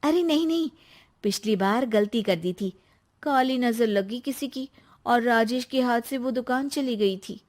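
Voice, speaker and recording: Woman voicing an anxious, high-pitched young wife. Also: darkish tone, slight background noise, natural voice. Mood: worried. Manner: high, pleading and quick to take offense.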